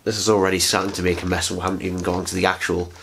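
A man's voice: low-pitched, level tone, very fast, gravelly, noisy background. A young man, sounding nasal from a cold, chatting casually and rambling through an unboxing in his living room at night, close to a camera. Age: young adult. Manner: chatty, rambling, self-mocking asides. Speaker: vlogger. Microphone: camera on a tripod, sitting close.

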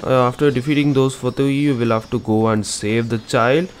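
A man's voice: medium pitch, steady tone, moderate pace. A man narrating a game walkthrough as an informal, instructional gaming channel host. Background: game music.

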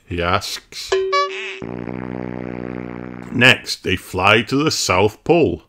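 A man's voice: fairly low pitch, lively intonation, drawn-out words, pushed and bright, soft background music. A man reading a children's storybook aloud as narrator. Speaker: storybook reader.

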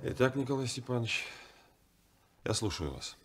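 An actor playing a businesslike, decisive sea captain in a 1970s Soviet radio play. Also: male voice, medium pitch, animated, background noise, lightly acted. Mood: brisk, decisive. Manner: brisk, decisive.

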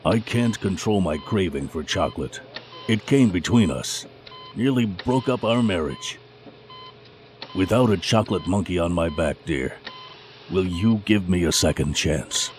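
Man playing a low-voiced, weary loan officer. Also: expressive, unhurried, darkish tone, slight background noise. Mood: contrite. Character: contrite, weary married loan officer, male chocolate addict. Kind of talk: audio drama acting.

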